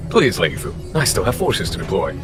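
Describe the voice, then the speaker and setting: Low, languid, wily general. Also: male voice, huge pitch swings, heavily acted. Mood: calm, amused. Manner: unhurried, courteous, wry, formal. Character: languid, wily male general, calm and quietly amused. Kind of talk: scripted video game voice acting. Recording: game music underneath.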